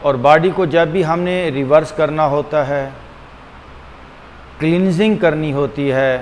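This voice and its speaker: A man with a slightly high voice leads a guided meditation at a very slow pace. He gives instructions deliberately, repeating phrases and leaving pauses between them. The recording carries a long, hall-like reverb. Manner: deliberate, repetitive, with frequent pauses; switches between Hindi-Urdu and English. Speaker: yoga and meditation teacher. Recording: long hall reverb, faint background hum.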